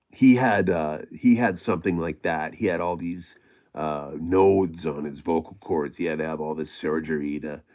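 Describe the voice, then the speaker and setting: A middle-aged man with a gravelly voice, hosting a casual livestream and chatting with viewers in a rambling way full of fillers and restarts. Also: deep voice, a little mellow. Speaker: livestream host reading chat.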